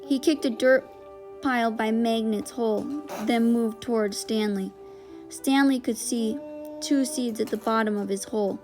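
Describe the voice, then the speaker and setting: A woman's voice: fairly high pitch, fairly even intonation, unhurried, a little mellow. A woman reading a storybook aloud as scripted narration. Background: music.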